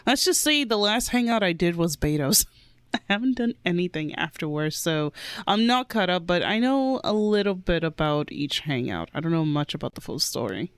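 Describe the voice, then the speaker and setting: A woman streamer giving casual, excitable and giggly reaction commentary on a game. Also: lowish voice, moderate pace, clean tone.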